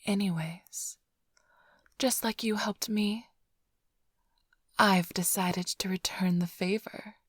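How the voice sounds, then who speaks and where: A woman playing a flirtatious, smug vampire thief in an intimate ASMR roleplay, teasing and sly in a breathy, often whispered voice. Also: medium pitch, steady tone, slow pace, long pauses, no echo. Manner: teasing, purring, theatrically sly. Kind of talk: ASMR roleplay, intimate close address to listener.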